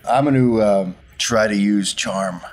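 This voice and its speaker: Man, lowish pitch, tabletop player, casual action narration. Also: unhurried.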